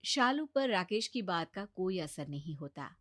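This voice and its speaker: A woman's voice: fairly low pitch, sing-song, unhurried, fairly bright tone. A woman narrating a scripted children's story as its storyteller.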